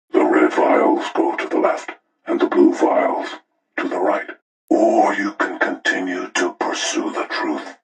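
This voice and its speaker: Menacing older game villain, extremely low pitch, gravelly breathy rasp, deliberate, cold, moralizing, echoey recording. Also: male voice, fairly bright tone, full character voice. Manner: deliberate, ominous, coldly moralizing. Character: cold, menacing older male serial killer mastermind setting deadly games. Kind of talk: sinister villain monologue for a horror video game.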